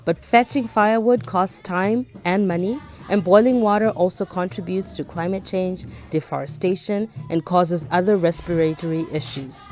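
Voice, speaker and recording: A woman reading scripted documentary narration in a measured, informative way. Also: lowish voice. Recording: voice-over with soft music behind.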